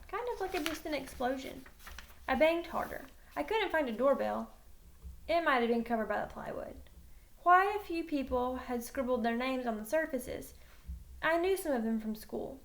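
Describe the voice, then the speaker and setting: A woman reading a children's novel aloud in an animated, emphatic way, like a teacher narrating to a class. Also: sits high. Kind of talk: expressive read-aloud of a children's novel, first-person narration.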